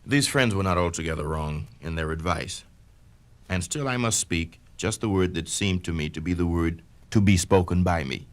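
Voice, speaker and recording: A man giving a measured, declamatory first-person reading of an autobiography for a vintage spoken-word record. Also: low-pitched. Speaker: narrator reading a memoir.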